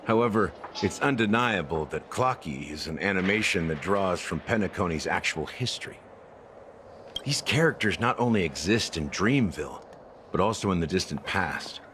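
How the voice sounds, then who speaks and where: An actor playing a weary, regretful officer in a video game, speaking gravely and deliberately with dramatic pauses. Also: male voice, sits low, animated, slight background noise. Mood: grave, knowing. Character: weary, world-worn male officer haunted by regret. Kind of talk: English video game voice acting. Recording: dramatic music behind the voice. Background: loud background music.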